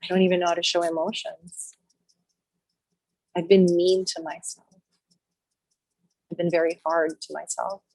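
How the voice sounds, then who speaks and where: A woman guides listeners through a healing meditation in a low, husky voice. She speaks softly and slowly in short repeated phrases with long pauses. The sound is dry and close. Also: flat delivery, no echo, low-quality recording. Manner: slow, soft, repetitive phrases with long pauses.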